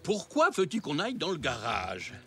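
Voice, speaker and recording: Swooping, pondering grandpa zebra. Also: male voice, fairly high pitch, huge pitch swings, normal speed, sharp tone, heavily acted. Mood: puzzled. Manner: hesitant, pondering. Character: kindly, thoughtful grandfather zebra, elderly male. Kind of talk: French children's cartoon dialogue. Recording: music behind the voice.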